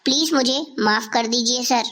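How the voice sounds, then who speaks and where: A woman's voice: fairly high pitch, normal speed, a bit bright, natural voice. A woman playing an earnest, lovestruck teenage schoolgirl in scripted cartoon dialogue. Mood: pleading, apologetic.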